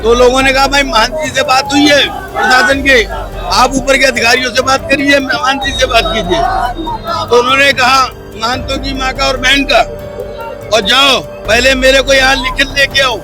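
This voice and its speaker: A man's voice: very high-pitched, level tone, normal speed, over music, a crowd in the background, poor audio. A man giving a spoken account of a grievance to reporters. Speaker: protester giving a statement to reporters.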